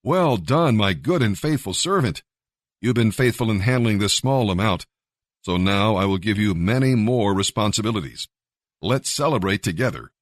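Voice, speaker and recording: A man's voice: lowish voice, expressive, moderate pace, no room sound, clean studio sound. A man voicing a wealthy, authoritative master addressing his servants in a scripture story. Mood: pleased, praising.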